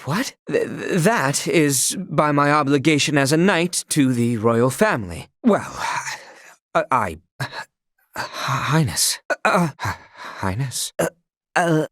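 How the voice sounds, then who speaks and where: A man's voice: on the high side, lively intonation, slow pace, a bit bright, no echo, studio quality. An actor playing a proud, loyal young male knight in a video game, speaking earnestly in formal, archaic courtly phrasing. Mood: flustered.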